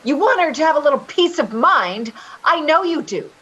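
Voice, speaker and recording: A woman playing an evasive, manipulative caregiver in a readers theater drama, halting and repeating her words. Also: high-pitched, sing-song, fairly bright tone, lo-fi sound. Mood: coaxing, nervous. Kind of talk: readers theater stage acting.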